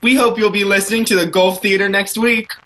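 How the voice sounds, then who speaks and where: An actor plays a genial male host of a 1940s radio theater. The delivery is announcer-style, with a bright, forceful tone. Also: mid-range voice, steady tone, a little fast, close to an everyday voice. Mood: polished, upbeat.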